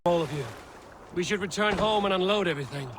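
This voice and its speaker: An actor playing a proud, seasoned Viking raider in video game dialogue. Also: male voice, sits high, fast, close to an everyday voice. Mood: confident. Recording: loud water and boat noise.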